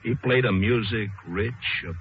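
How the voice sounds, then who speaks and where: An actor narrating as a hard-boiled, romantic jazz-age balladeer, reciting rhyming slang verse rhythmically in an old radio drama. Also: male voice, on the low side, unhurried, a little hiss or hum, natural voice. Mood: dramatic, wistful. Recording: muffled vintage radio, loud music behind.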